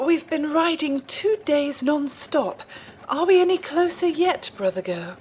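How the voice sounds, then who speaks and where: A woman playing an earnest, gently chiding nun in an audio drama, composed and polite. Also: high-pitched, animated, measured pace. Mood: sincere, admiring. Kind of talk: scripted audio drama acting.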